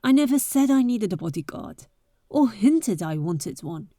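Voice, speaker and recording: A woman playing a bold, impatient but caring adventurer in an intimate roleplay audio drama, with breathy, teasing, exasperated delivery. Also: mid-range voice, sing-song, a little slow, mellow, dry recording, clean studio sound.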